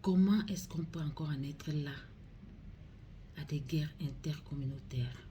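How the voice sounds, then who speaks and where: Cameroonian woman doing a solemn public appeal, really slow and grave, dark mellow voice, some background noise. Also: low voice. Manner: grave, pleading, deliberate phrasing. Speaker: regional councillor making a public appeal.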